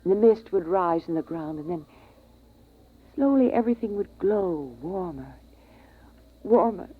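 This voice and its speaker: An actress playing a fragile, distraught mother with an American accent in a 1950s radio drama, halting and dreamy as she clings to memories. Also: fairly low pitch, expressive, slightly rough. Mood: dreamy, longing. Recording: muffled, tinny vintage radio recording.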